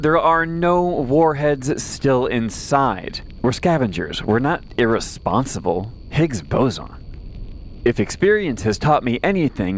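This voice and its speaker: A man's voice: mid-range voice, a little hiss or hum, lightly acted. A man playing a wry, weary post-apocalyptic radio host who rambles conversationally through a late-night audio drama monologue. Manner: conversational, wry, rambling asides and self-corrections.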